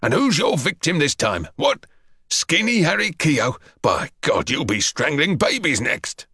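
A man voicing a brisk, indignant but protective male PE teacher in a storybook, with a hectoring manner. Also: mid-range voice, animated, no room sound, clean studio sound. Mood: scornful scolding. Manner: loud, scolding, hectoring.

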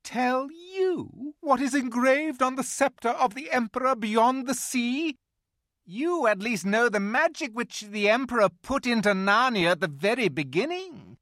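An imperious witch queen from a storybook reading, voiced by a man, cold and shrill as she lays claim to what she thinks is hers. The voice sits high above his own and swings widely in pitch. It is recorded close and dry, with no room sound. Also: lively intonation, measured pace, fairly bright tone, no room sound, full character voice. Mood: menacing. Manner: shrill and commanding, rising when angry.

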